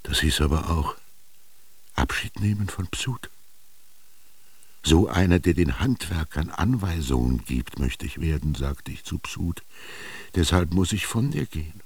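An actor in a radio drama playing a melancholy, yearning ancient Egyptian man recalling his youth, speaking lyrically in a gravelly, husky voice with lingering pauses. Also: very deep voice, animated, heavily acted. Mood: sorrowful, intense. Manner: lyrical, brooding, with rhetorical questions and lingering pauses. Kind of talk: literary radio drama monologue.

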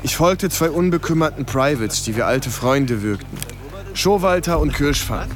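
A man's voice: a little high, lively intonation, normal speed, lightly acted. A man as a sober ex-soldier recalling war. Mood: sober, haunted. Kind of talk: first-person radio drama narration. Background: music.

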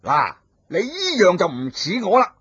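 A man's voice: high-pitched, wildly swooping pitch, unhurried, voice pushed up, heavily acted. Man voicing a bellowing brute villain. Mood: impatient. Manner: gruff, blustering, shouting insults. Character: brutish hot-tempered male martial-arts villain. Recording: dull lo-fi recording.

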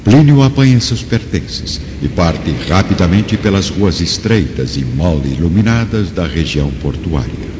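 A man's voice: low-pitched, expressive, measured pace, few pauses, very noisy. A man giving solemn, formal dramatic narration for a radio drama. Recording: reverberant, muffled, music and effects behind.

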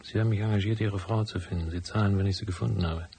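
Hard-boiled private eye, low and gravelly, terse and cynical, radio-drama style. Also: male voice, fairly even intonation, quick pace, mellow, a little hiss or hum. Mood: dry, wary. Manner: terse, dry, matter-of-fact. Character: hard-boiled, cynical male private detective, laconic and world-weary. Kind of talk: German radio drama acting and first-person narration.

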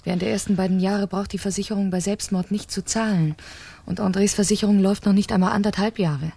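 An actress playing a cold, impatient wife who plots murder, insistent and clipped, in a 1970s crime radio play. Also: female voice, mid-range voice, steady tone, fast, creaky, mellow, breathy edge. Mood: cool, calculating.

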